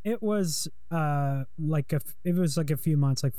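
A man's voice: fairly high pitch, moderate pace, mellow, no room sound, studio quality. A young man in casual conversation, talking in a rambling, hesitant way with many fillers while interviewing a guest.

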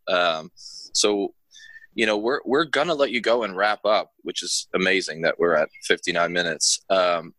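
A man's voice: deep voice, sharp tone, no room sound. A man hosting a podcast, wordy and effusive, rambling with many fillers. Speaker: podcast host. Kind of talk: casual podcast interview wrap-up.

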